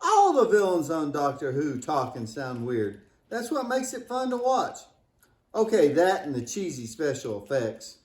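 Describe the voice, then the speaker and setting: Man as wisecracking kid puppet for church show: highish voice, playful exclamations, echoey room. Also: wildly swooping pitch, a little slow. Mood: playful, excited. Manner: playful, joking, exaggerated exclamations. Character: Michael, cheeky, wisecracking, imaginative boy puppet.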